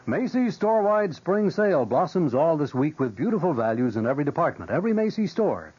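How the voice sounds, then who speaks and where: Animated male radio ad announcer, muffled. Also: on the high side, fairly quick, husky. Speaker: commercial announcer. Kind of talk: 1970s radio advertising read. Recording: muffled old radio broadcast.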